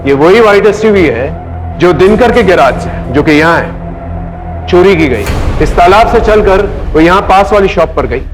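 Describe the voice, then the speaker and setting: An Indian man acting as an authoritative, dutiful police investigator in a TV crime drama, speaking deliberately. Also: high-pitched, animated, soft background music, poor audio. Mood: serious, explaining. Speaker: actor in a TV crime series.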